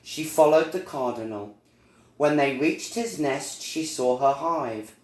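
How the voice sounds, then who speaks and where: A man narrating a children's storybook in a deliberate, expressive storytelling manner, recorded in an echoey room. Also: fairly high pitch. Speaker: storyteller reading a children's book.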